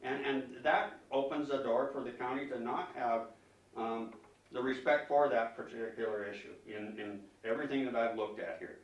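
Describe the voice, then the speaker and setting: A man speaks in an echoey room, some way from the microphone. He addresses officials as a member of the public, argumentative and emphatic, with halting phrases and repeated words. His pitch sits in an ordinary middle range. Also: distant mic, dull audio. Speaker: citizen speaking at a county commission meeting. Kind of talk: public comment, argumentative questioning. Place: public meeting room, indoors.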